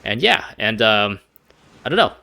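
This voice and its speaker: Young guy rambling over his playthrough, crisp bright voice, dry close mic. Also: on the low side, sing-song, a little slow, dry recording. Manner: rambling, hesitant, thinking aloud. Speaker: gaming video host. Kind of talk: casual playthrough commentary.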